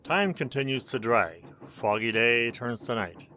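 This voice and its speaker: An actor narrating a suspense radio drama in a scripted, dramatic style. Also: male voice, medium pitch, expressive, measured pace, pushed and bright, slight background noise. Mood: ominous.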